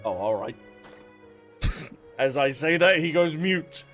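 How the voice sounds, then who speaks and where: A male game streamer with a fairly high voice chats casually to his viewers. He is cheeky and reactive, bantering over faint game music. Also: expressive, slow, fairly bright tone. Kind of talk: casual livestream commentary with playful banter.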